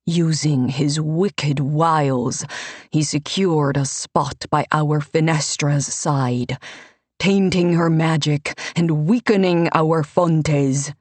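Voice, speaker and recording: A woman voicing a sanctimonious, scheming male priest with an oily, pompous delivery, spoken slow and low. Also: a little mellow, no echo.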